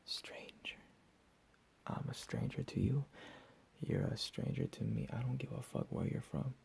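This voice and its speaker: A man playing a deranged, menacing captor in a whispered ASMR roleplay, profane and slow with drawn-out emphasis. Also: mid-range voice, fairly even intonation, vocal fry, darkish tone, husky, heavily acted. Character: deranged menacing male captor, recently escaped asylum.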